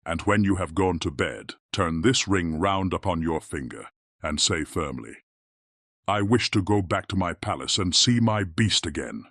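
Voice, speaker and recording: A man voicing a gentle, lovesick beast with a gruff voice and a mournful, formal manner in a fairy tale audiobook. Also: low-pitched, no echo, clean studio sound, exaggerated voice.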